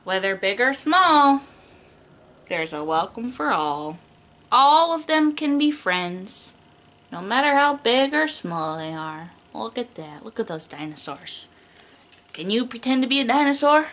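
A woman's voice: a little high, big pitch swings, measured pace, fairly bright tone. A young adult woman, a preschool teacher doing a picture book read-aloud in an exaggerated, child-directed way, stretching key words.

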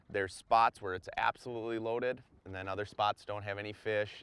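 A man in his forties hosts a fishing report from an open boat on a big lake, explaining his methods in an upbeat, chatty way. His voice sits low and sounds smooth and resonant. A lapel mic picks him up outdoors. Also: a bit bright. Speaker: fishing guide and show host.

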